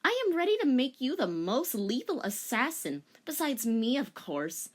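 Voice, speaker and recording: Woman acting boastful female bending master, flirty, gushing, high and animated, fanfiction reading. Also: moderate pace.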